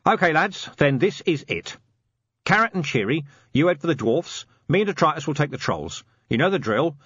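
A man voicing a weary, gruff police commander in an audiobook, terse, dry-humoured and commanding. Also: a little high, sing-song, moderate pace, a bit bright, no room sound, lo-fi sound, pitched above the performer's own voice, full character voice.

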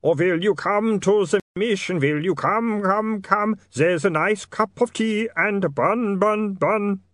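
A man's voice: fairly high pitch, big pitch swings, moderate pace, no echo, studio quality, voice pushed up. A man voicing an anguished, polite male vampire in an audiobook, with a trembling, wailing voice and melodramatic, pleading delivery. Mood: strained singing.

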